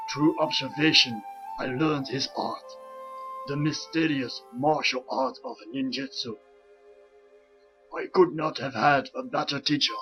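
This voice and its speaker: A man voicing a wise old rat sensei and father, telling a story in a measured, grave, formal manner for a fan voice comic. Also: medium pitch, lo-fi sound, natural voice. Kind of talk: dramatic comic-book storytelling. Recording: music under the voice. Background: clear background music.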